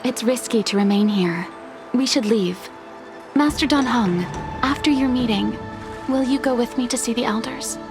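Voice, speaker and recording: A woman's voice: fairly high pitch, normal speed, close to an everyday voice. A woman playing a composed, respectful attendant in a video game, speaking formally and politely. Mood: polite, concerned. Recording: game music behind the voice.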